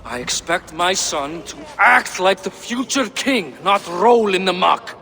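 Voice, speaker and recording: An actor playing a proud, exasperated middle-aged Norse king and father in a video game, expressive and commanding. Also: sits high, a little slow, vocal fry, exaggerated voice. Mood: angry. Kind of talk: video game cutscene acting.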